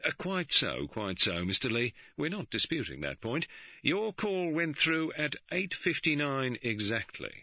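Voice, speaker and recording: A man plays a stolid, respectable police superintendent in middle age who speaks with measured, dry insistence. His voice is bright and a touch raised. The recording is dry and dull. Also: mid-range voice, big pitch swings, no room sound, voice pushed up, heavily acted.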